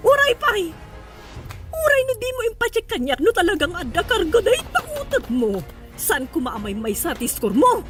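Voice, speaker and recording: A woman's voice: very high voice, big pitch swings, normal speed, fairly bright tone, full character voice. A woman with an Ilocano accent playing a harsh, emotional mother in a radio drama. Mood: angry, scolding. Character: harsh, scolding mother of a schoolgirl, later frantic with worry. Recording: music under the voice.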